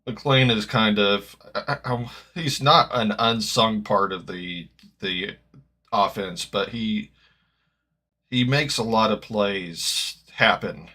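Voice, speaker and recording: A man with a slightly gravelly voice, chatting casually as he reacts live to a football match, rambling and correcting himself as he goes. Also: fairly low pitch, takes it slowly, bright, forceful tone. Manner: rambling, self-correcting, hopeful fan. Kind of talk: casual live-stream chat.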